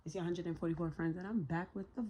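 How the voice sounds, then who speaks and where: A woman with a raspy, hoarse voice doing a casual tarot reading for her viewers. She talks in slangy, profane bursts, thinks out loud, and speaks to spirit as she pulls cards. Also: on the low side, fairly even intonation, quick pace, muffled recording, very noisy. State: hoarse, voice a little shot. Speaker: tarot reader.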